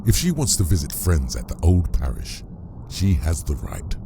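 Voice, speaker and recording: A man plays a protective priest in an audio drama with an extremely deep, creaky voice. He is blunt and steadfast, speaking in terse, firm phrases over clear background noise. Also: huge pitch swings, normal speed, heavily acted. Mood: firm, resolved. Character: steadfast, protective male priest, blunt and quietly warm-hearted.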